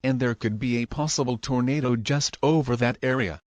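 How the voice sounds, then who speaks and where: A man's voice: mid-range voice, brisk, no room sound, highs cut off, close to an everyday voice. A man playing a local TV weatherman who gives news commentary on a tornado threat. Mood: serious warning. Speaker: TV weather presenter.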